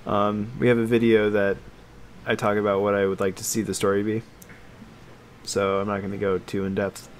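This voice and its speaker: A man co-hosting a casual podcast chat about games and films, talking in a halting, meandering way that trails off. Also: lowish voice, little pitch movement, a little hiss or hum. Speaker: podcast co-host.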